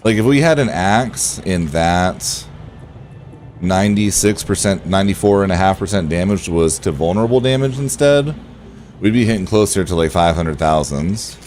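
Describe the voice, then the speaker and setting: A man with a low, relaxed voice talks casually through a game livestream. He thinks aloud and chats directly to his viewers, with clear background noise behind him. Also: normal speed. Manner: chatty, thinking aloud, addressing viewers by name. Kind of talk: casual livestream chat over gameplay.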